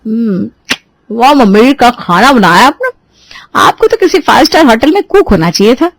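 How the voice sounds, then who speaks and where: A woman voicing a loud, sharp young bride. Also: fairly high pitch, very animated, normal speed, no room sound, voice pushed up. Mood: sly, bossy. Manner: indignant, sly teasing. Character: young new bride, bold and sharp-tongued.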